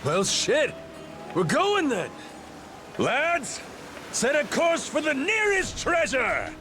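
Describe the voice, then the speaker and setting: An actor playing a brash, good-humored pirate captain in a video game, with a swaggering delivery. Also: male voice, very high-pitched, huge pitch swings, takes it slowly, over music, heavily acted. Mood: eager.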